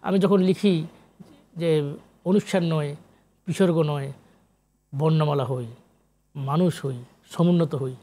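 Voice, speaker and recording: High-pitched man, emphatic interview guest. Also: slow pace, long pauses, dark tone. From Comilla, Bangladesh. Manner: emphatic, repetitive, rhetorical questions, English words mixed in. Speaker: guest poet and researcher. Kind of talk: interview talk.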